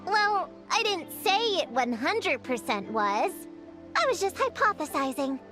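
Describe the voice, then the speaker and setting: A prim young know-it-all girl from a video game, with a high voice. She sounds dry, skeptical and a bit self-important over clearly audible music. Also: very animated, measured pace. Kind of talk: video game character acting.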